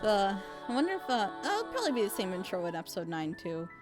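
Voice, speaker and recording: A woman's voice: medium pitch, big pitch swings, fast, over music. A woman hosting a casual reaction session with friends, rambling along in a giggly way. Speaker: host of a group reaction show.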